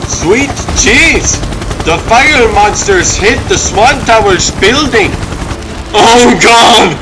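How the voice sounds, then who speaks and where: A man playing an excitable young news helicopter crewman in a comic dub. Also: extremely high pitch, extremely slow, background noise, heavily acted. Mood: alarmed, excited. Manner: exclamatory, alarmed.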